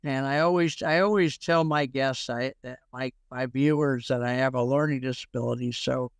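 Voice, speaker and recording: A man's voice: mid-range voice, fairly even intonation, moderate pace, clean tone, no room sound, studio quality. A man hosting an informal interview, jokey and rambling, with a habit of repeating himself. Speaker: show host interviewing a guest.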